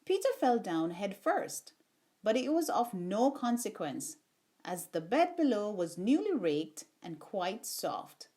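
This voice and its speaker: Slow, lilting woman reading a children's story. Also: mid-range voice. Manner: expressive, unhurried storytelling. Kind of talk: read-aloud storybook narration.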